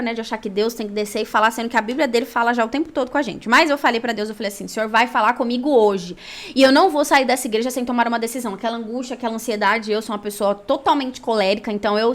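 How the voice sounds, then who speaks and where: Woman, Brazilian accent, higher-pitched voice, conversational faith storytelling, animated and colloquial delivery. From São Paulo, Brazil. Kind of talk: personal storytelling with Bible reading.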